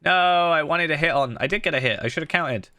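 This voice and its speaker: A man's voice: a little high, a little fast, pushed and bright, no echo, studio quality. A man live-streaming a game, chatting casually and thinking aloud, with a blocked nose that makes him sound slightly nasal. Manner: chatty, thinking aloud with many fillers and restarts. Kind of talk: casual live-stream commentary while playing.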